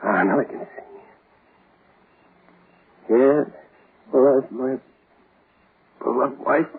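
An actor playing a vengeful, grief-maddened man in 1940s radio horror, speaking in halting, melodramatic mutters. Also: mid-range voice, gravelly, husky, full character voice. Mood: searching, desperate. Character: vengeful grief-maddened man hunting his wife's killer. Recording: muffled, hissy 1940s radio recording.

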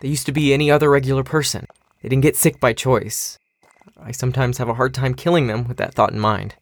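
A man's voice: mid-range voice, fairly even intonation, brisk, no echo, lightly acted. A man acting an anxious but determined young man in an audio drama, with an earnest, uneasy way of talking. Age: young adult.

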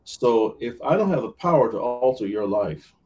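A man's voice: medium pitch, animated, moderate pace, darkish tone, distant mic. Earnest man preacher, a little off-mic. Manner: earnest, many restarts and repeated words. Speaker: minister. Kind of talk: podcast interview talk.

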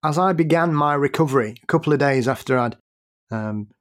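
Earnest man telling a story in a measured way. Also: a little high. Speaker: podcast guest. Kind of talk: casual conversation.

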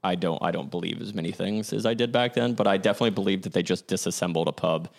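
A young man hosting his own show, speaking in a deep, low register. He rambles through old memories, stopping to correct himself and filling gaps with "like" and "um.". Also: fast talker, vocal fry. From Ohio, USA. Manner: rambling, self-correcting, lots of "like" and "um". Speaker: podcast host. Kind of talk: casual storytelling monologue.

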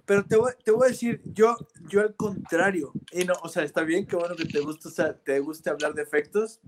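Emphatic Mexican man over a hissy line. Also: sits high. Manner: emphatic, quick to jump in. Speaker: bassist guest. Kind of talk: casual chat.

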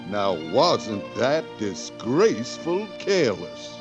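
A man's voice: medium pitch, sing-song, slow pace. An actor playing a curt, bossy but secretly kind frontier lawman with an American Western accent in a 1940s radio drama. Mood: wry. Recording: dull old radio recording with music.

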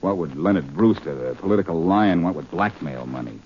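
Brash, deep-voiced old-time radio sleuth. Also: male voice, expressive, a little fast. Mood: pressing, sardonic. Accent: American. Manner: wry, insistent, clipped questioning. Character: brash wisecracking male sleuth on the run. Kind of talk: 1940s radio drama acting. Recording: dull, noisy old radio recording.

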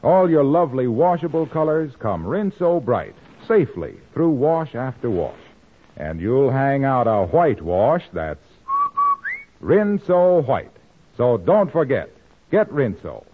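A man announcing in a scripted 1940s radio style, pitching the sponsor and introducing the show. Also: sing-song, unhurried, a little hiss or hum. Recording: muffled 1940s radio recording.

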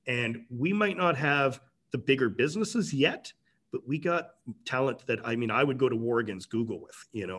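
A man's voice: mid-range voice, a bit bright. A middle-aged man, a company president talking casually as a guest, rambling with many restarts. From Canada. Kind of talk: casual interview talk.

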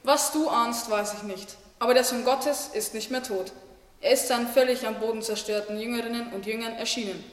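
A woman's voice: fairly high pitch, steady tone, a little fast, natural voice. A woman playing a cheeky, vain female butterfly in a German radio play. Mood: earnest. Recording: distant echoey hall, faint noise.

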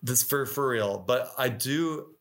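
A man's voice: mid-range voice, slow, a bit bright. A middle-aged man talking casually and at length as an interview guest, explaining his craft as a musician and acting out imagined quotes. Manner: informal and talkative, voicing imagined quotes.

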